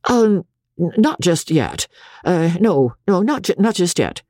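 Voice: mid-range voice, sing-song, unhurried, darkish tone, dry recording, studio quality, pitched above the performer's own voice. A reader voicing an awkward, timid young male doctor who stammers and hesitates, in a storybook narration. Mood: bewildered, hesitant.

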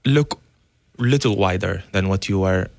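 Brief: young man, earnest and halting, lowish voice, dry studio sound. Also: creaky, darkish tone, no echo. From Syria. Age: young adult. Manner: earnest, pleading, many fillers. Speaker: guest, dance teacher. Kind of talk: radio interview. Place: radio studio.

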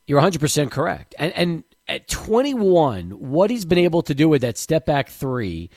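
Lively sports radio host. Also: male voice, a little high, normal speed, no echo, clean studio sound. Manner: eager, asks long leading questions.

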